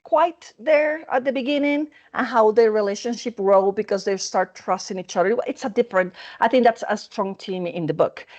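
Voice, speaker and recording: A woman's voice: medium pitch, moderate pace, few pauses, darkish tone, dull audio. A woman author answering interview questions, hesitant and repeating words as she finds them. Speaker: author guest.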